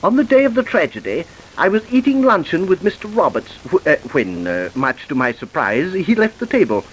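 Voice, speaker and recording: An actor playing a fussy, hesitant and apologetic gentleman witness in an old radio drama. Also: high voice, very animated, brisk, a little hiss or hum, rough recording. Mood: earnest, rambling. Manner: hesitant, polite, rambling, with repeated filler phrases.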